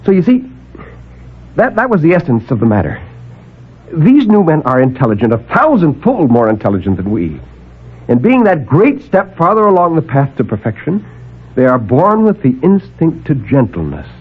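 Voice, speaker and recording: An actor playing a desperate escaped captive who pleads urgently and haltingly to be believed, in 1950s radio drama style. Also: male voice, a little high, wildly swooping pitch, breathy edge, heavily acted. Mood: urgent, fearful. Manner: urgent, emphatic, halting delivery. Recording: narrowband old AM radio recording.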